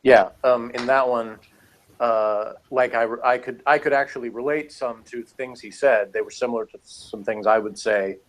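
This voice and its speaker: A man's voice: medium pitch, steady tone, normal speed, rough recording. A man chatting casually as a reviewer, giving his opinions on an episode in a rambling way.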